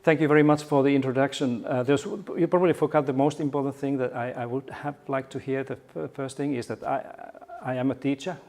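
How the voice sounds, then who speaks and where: A man's voice: medium pitch, steady tone, fairly quick, hardly any pauses, a little mellow, a little hiss or hum. A middle-aged man from Finland with a Finnish accent, giving a conference keynote in a friendly, joking way with frequent restarts. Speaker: keynote speaker. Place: conference hall.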